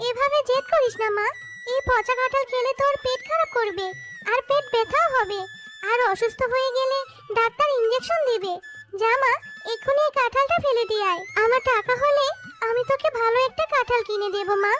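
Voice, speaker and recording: An actor voicing a poor widowed mother fox in a children's cartoon, gentle but firm, pleading and motherly. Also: very high-pitched, fairly quick, over music. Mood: scolding, concerned.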